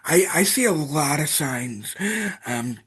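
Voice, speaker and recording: A man's voice: a little high, animated, takes it slowly, a bit bright, slightly rough. A man from Iowa, a guest on a podcast, who talks in a hesitant way, full of fillers and trailing off. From Iowa, United States. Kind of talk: casual chat.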